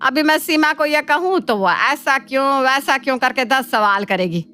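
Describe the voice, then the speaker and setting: Woman acting a sharp, bossy mother-in-law. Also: sits high, expressive, moderate pace. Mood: coaxing, commanding. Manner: scolding, coaxing, commanding. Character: bossy, scheming mother-in-law, doting on her daughter. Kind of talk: Hindi cartoon family drama acting. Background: faint background music.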